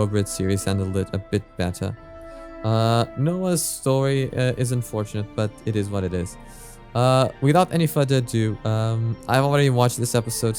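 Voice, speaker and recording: A man's voice: medium pitch, a little mellow. A man hosting a reaction video, talking casually and analytically, thinking aloud with hesitant, repeated phrases.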